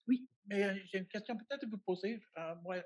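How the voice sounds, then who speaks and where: A native English speaker from Ontario speaks French with an English Canadian accent as a panelist at an academic round table. His voice sits a little high for a man. He often searches for words and corrects himself. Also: a little fast, highs cut off.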